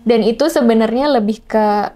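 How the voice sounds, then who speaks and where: A woman's voice: a little high, normal speed, over music. A woman chats casually and expressively as an interview guest, mixing in English phrases. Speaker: singer-songwriter guest.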